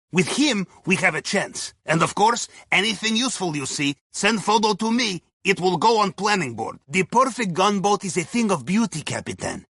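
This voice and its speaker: Casting: upbeat Russian submarine heist planner for a video game; wry and folksy, bright higher voice, husky edge. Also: male voice, dry recording, studio quality, heavily acted. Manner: wry, folksy, drops articles. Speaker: mission guide. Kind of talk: scripted video game voice lines.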